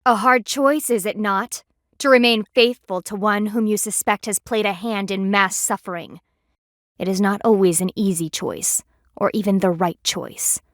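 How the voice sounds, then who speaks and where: A woman's voice: mid-range voice, steady tone, normal speed, fairly bright tone, no room sound, studio quality, close to an everyday voice. A woman voicing a stern, proud female panda warrior in a video game, with formal, clipped and dryly sardonic diction. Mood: solemn, grave. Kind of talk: video game character voice lines.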